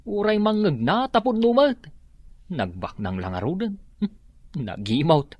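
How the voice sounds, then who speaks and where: A man's voice: fairly high pitch, sing-song, unhurried, no room sound, dull audio. A man playing a mocking, gossipy household worker in an audio drama. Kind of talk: melodramatic audio drama acting.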